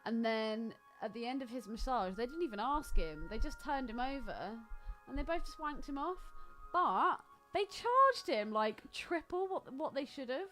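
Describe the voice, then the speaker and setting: A southern English woman with a fairly high voice chats as a podcast co-host. She tells stories in a gossipy, blunt way, full of slang and swearing. Music sits faintly in the background. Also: sing-song, normal speed, bright, forceful tone, rough recording. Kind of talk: casual chat, gossipy storytelling.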